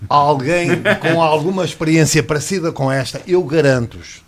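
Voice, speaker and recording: A man's voice: fairly high pitch, normal speed, crowd noise. Insistent radio pundit man. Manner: insistent, repeats himself for emphasis. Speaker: panel commentator. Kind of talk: radio sports panel chat.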